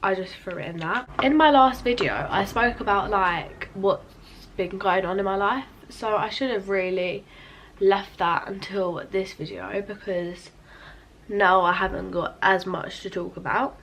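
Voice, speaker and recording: A woman's voice: mid-range voice, unhurried, a bit bright. A teenage girl chatting casually while doing her makeup, rambling with lots of "like" and still sounding sleepy from just waking up. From England. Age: teens. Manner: chatty, rambling, filler-heavy with frequent "like". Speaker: beauty vlogger. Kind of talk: casual get-ready-with-me chat.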